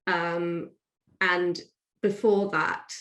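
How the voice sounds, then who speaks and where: Woman, lowish voice, echoey room. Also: flat delivery, very slow, a bit bright. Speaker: podcast guest, author. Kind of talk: casual interview chat, explaining. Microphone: own microphone on remote call. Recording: roomy, echoey sound.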